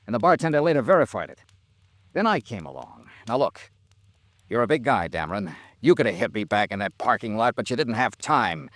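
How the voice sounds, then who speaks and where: An American actor playing a tough, relentless, righteous insurance investigator in a 1950s radio drama, with a hard-boiled, insistent manner. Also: male voice, medium pitch, very animated, brisk, a bit bright, no room sound. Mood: accusing, determined.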